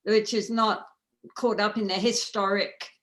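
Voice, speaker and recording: A woman talking on a video call as an interviewee, speaking slowly and clearly in a gentle, unhurried way. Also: mid-range voice, flat delivery. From lives in India. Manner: slow, clear, gentle speech. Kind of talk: casual video call chat.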